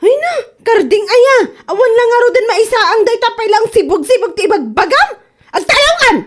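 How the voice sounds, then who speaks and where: A woman's voice: extremely high pitch, expressive, brisk. A woman with a Filipino Ilocano accent playing a hard-up, complaining wife who keeps borrowing, in a comedy radio drama. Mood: indignant.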